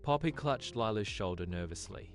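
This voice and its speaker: A woman's voice: a bass voice, animated, moderate pace, faint music behind. A woman narrating a scripted bedtime story for children.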